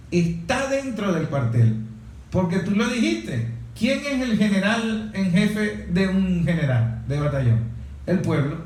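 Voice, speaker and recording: A man's voice: a little high, a little mellow, very echoey. A man in a political discussion, explaining his points emphatically and didactically, building them through repetition.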